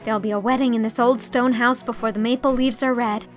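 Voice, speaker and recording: A woman's voice: on the high side, steady tone, quick pace, lightly acted. An earnest young woman with a romantic streak, as a female narrator plays her in a storybook. Her delivery is emphatic and sincere, over music. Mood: gleeful. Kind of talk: audiobook character reading.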